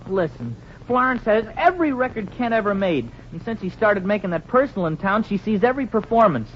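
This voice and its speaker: A woman's voice: mid-range voice, very animated, a little fast, natural voice. A performer playing a lovesick, melodramatic teenage boy in a 1940s radio comedy, plaintive and slangy. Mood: aggrieved. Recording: dull, noisy 1940s radio recording.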